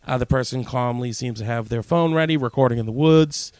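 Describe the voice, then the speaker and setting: A man's voice: medium pitch, brisk, a little mellow, smooth, no echo. A man co-hosting a radio show, trading casual local-news banter in a jokey, mock-serious way. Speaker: co-host of a community radio show.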